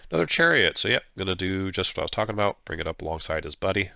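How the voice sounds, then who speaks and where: A man's voice: sits low, big pitch swings, very fast, vocal fry, bright, forceful tone, no echo, dull audio. A man getting over a flu, his nose stuffed up, casually narrating his own tabletop battle as a player with plenty of fillers. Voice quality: nasal, stuffed-up. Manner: thinking aloud, hesitant with fillers. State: congested from a flu. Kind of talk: casual play-by-play commentary.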